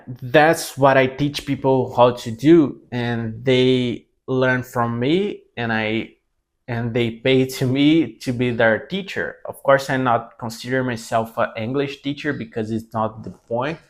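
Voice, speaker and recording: A man in his twenties from Brazil with a Brazilian Portuguese accent and Portuguese as his first language, talking to camera in a casual, halting way, often restarting his sentences. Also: medium pitch. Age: 20s. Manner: halting, many restarts and self-corrections. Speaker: language mentor.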